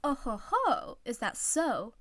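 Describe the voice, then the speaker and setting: A woman's voice: sits high, sing-song, takes it slowly, fairly bright tone, pitched above the performer's own voice, exaggerated voice. Woman putting on a sly, teasing teenage boy.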